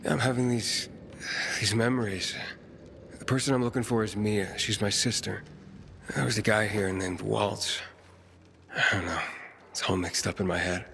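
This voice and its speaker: An actor playing a troubled, guarded young man in a video game, speaking in terse, halting phrases with a gravelly voice. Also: fairly low pitch, steady tone, a bit bright. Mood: troubled, hesitant. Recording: clear background noise.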